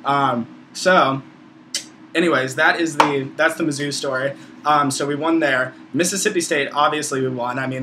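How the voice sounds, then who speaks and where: A man's voice: medium pitch, pushed and bright, a little hiss or hum. A man of about twenty with an American accent, talking casually and opinionatedly as a video blogger, with slangy, profane, informal phrasing. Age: around 20. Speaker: video blogger, college student. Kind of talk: casual opinionated vlog monologue.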